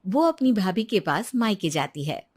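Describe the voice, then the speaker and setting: A woman reading scripted storybook narration for an animated moral tale. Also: mid-range voice, normal speed, breathy edge.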